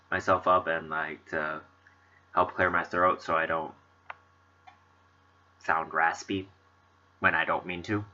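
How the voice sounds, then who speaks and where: A man's voice: sits low, sharp tone, highs cut off. A man with a stuffy, congested nose, rambling chattily in casual commentary on a game he is playing. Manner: rambling, chatty, many fillers. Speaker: let's play host.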